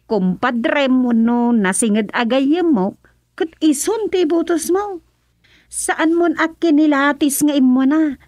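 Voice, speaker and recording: A woman's voice: fairly high pitch, dry recording, studio quality. A woman with an Ilocano accent playing an earnest, opinionated village daughter in a radio drama. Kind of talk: radio drama acting, conversational.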